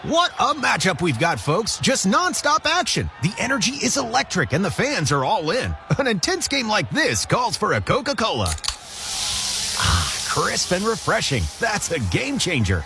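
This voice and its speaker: An excitable sports announcer pitches a soda in a radio commercial, acted by a man. The voice is high and extremely animated, with music clearly behind it. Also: normal speed, heavily acted. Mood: excited. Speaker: commercial voice actor.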